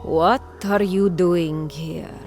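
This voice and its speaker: A woman's voice: on the low side, fairly even intonation, very slow, darkish tone, faint music behind. A woman voicing a proud warrior priestess of ancient Egypt in a video game cutscene, grave and commanding.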